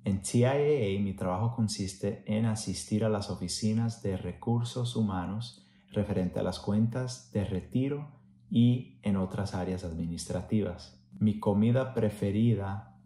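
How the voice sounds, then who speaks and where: A man's voice: fairly low pitch, measured pace, warm tone. A middle-aged man from the United States telling about himself as a volunteer presenter, recorded in a room at home. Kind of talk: personal introduction and anecdote to camera. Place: small room at home, indoors. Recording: echoey room.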